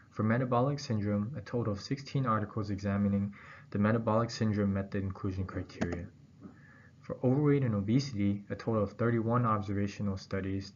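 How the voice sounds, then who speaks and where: A man reads a scripted academic presentation aloud in a formal, halting way, pausing between phrases. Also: on the low side, darkish tone. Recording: dull, muffled audio with background noise.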